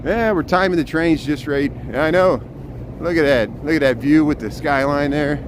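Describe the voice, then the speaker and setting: A man with a loud voice live-streaming a walking tour outdoors, chatting casually and pointing out trains and buildings as he goes. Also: fairly high pitch, normal speed. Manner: chatty, pointing out sights as he walks. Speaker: urban planner. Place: outdoors on city streets. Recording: noisy city street with traffic.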